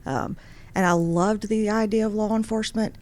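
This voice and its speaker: A woman's voice: medium pitch, fairly even intonation, normal speed, a little mellow. A woman, from Georgia, telling her story earnestly in a casual radio interview as a guest. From Georgia, USA. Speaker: guest, superior court judge.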